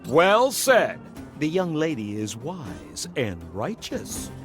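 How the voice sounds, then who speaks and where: A man playing a glib, theatrical young con artist in a video game, ingratiating and full of flattery. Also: on the high side, slow, soft background music, heavily acted.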